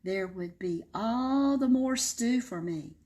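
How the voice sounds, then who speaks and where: A woman's voice: fairly high pitch, expressive, slow, dark tone. A woman voicing a greedy male wolf in a children's storybook, talking in a sly, wheedling way. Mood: scheming.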